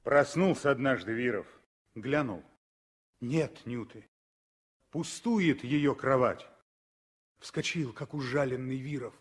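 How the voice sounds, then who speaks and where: A man narrating a 1960s radio drama as a storyteller, with expressive, dramatic phrasing. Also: mid-range voice, slow pace, pauses often, slightly rough.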